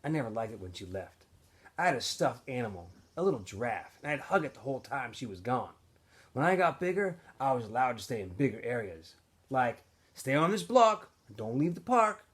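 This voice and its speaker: A man plays a homeless young boy in a novel read-aloud, wistful and guarded. The delivery is earnest and halting, with lively swings in pitch and a husky edge. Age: child.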